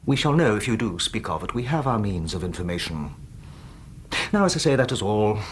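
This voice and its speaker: An actor playing a falsely courteous villain in a radio drama, husky and oily, with over-polite menace. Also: male voice, on the low side, big pitch swings, a little fast, slight background noise. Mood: menacing courtesy.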